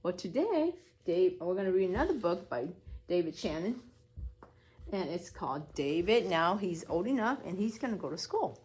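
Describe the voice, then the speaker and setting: A woman's voice: fairly low pitch, a little mellow, husky. A woman preschool teacher reading a story aloud to young children, explaining as she goes and asking simple questions.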